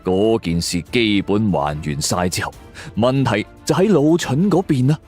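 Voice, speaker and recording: A man's voice: on the low side, expressive, measured pace, a little mellow. A man narrating a scripted suspense story in a dramatic way. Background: background music.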